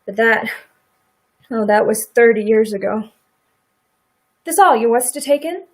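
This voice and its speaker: A woman in a radio play acting a middle-aged farm wife who is sympathetic, quietly indignant and plain-spoken in a folksy rural way. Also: fairly high pitch, expressive, a little slow. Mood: sympathetic, thoughtful.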